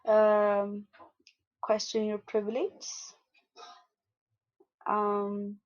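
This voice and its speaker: A young woman from Ethiopia speaking as a student activist in a podcast interview. She talks in a halting way, with many restarts and fillers, at a middling pitch. The audio comes through a little dull and muffled. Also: monotone. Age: young adult.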